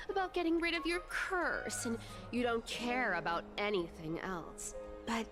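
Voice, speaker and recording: A woman's voice: mid-range voice, lightly acted. A woman voicing a greedy, mischievous demigod trickster in a video game, teasing and theatrical, slipping between airy murmurs and exclamations. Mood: probing. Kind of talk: animated video game voice acting. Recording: game audio with clear background music.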